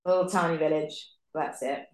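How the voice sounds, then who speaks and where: A woman's voice: sits low, fairly even intonation, brisk. A woman with an English accent chatting casually as a podcast co-host, playful and self-deprecating. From Thatcham, Berkshire, England. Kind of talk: casual chat, fan Q&A. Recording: echoey room.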